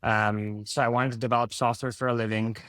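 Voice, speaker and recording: A man's voice: medium pitch, little pitch movement, brisk, fairly bright tone, no echo, studio quality. A man in his thirties from Canada, Italian his first language, answering interview questions as a startup founder with many fillers and restarts.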